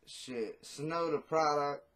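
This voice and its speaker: A man's voice: a little high, slow pace, a bit bright. A man in his thirties, a rapper talking casually and bluntly in an interview, cursing freely, on a video call from a small room at home. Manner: blunt, profane, laid-back.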